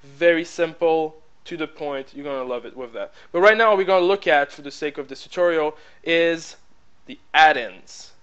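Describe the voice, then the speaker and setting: A man with a French accent talks through a screen-recording tutorial in a loose, rambling way, full of "uh" and "blah, blah, blah". His voice sits fairly high and sounds smooth and clear. It comes through a headset mic with slightly dull, lo-fi sound. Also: expressive, normal speed, a bit bright. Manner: rambling, filler-heavy, repeats "blah, blah, blah". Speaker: tutorial presenter. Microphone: plug-in headset mic.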